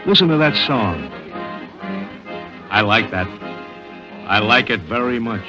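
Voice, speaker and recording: An actor playing a restless, smooth-talking male prowler and thief in a 1940s-style radio drama. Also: lowish voice, expressive, slow. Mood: insistent, smooth. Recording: muffled vintage radio recording, music behind.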